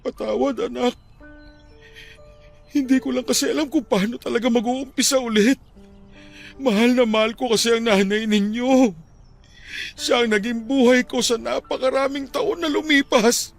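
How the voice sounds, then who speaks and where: An actor playing a tender, heartbroken widowed father in an emotional radio drama, speaking haltingly. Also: very high voice, fairly even intonation, creaky. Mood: tearful, apologetic. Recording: music under the voice. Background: music.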